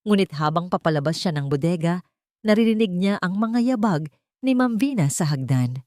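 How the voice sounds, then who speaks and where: Low-voiced Filipina story narrator, close and dry. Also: female voice, lively intonation, moderate pace, mellow, no room sound. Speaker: narrator of audio fiction. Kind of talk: scripted dramatic story narration.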